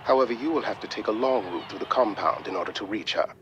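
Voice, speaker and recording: A man playing a composed, formal mission adviser in a video game, giving measured, matter-of-fact briefings. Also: on the low side, muffled recording, noisy background, lightly acted. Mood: composed, informative.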